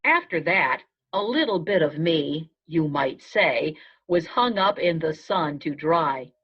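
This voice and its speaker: A woman narrating a children's storybook aloud in the first person, in a measured storytelling manner. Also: sits low, animated, breathy edge. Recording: dull, lo-fi sound.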